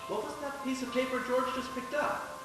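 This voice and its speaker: A man playing a naive, soft-hearted, inquisitive listener to a tale in a live radio play. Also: very high voice, level tone, normal speed. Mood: curious, concerned. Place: stage of a live theater performance. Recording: echoing hall, distant mic, loud music behind.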